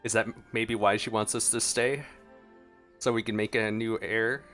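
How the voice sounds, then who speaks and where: A man's voice: fairly low pitch, level tone, normal speed, a bit bright, faint music behind. A man giving casual reaction commentary as he plays a video game.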